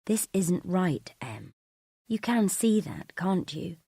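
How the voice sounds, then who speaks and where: A woman's voice: fairly low pitch, sing-song, slow pace, creaky, mellow, husky, no room sound, heavily acted. A female narrator acts out an exasperated husband from an audiobook story. He is stern and confronting, speaking in firm, clipped phrases as he holds his anger back. The sound is very dry. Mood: restrained, soft.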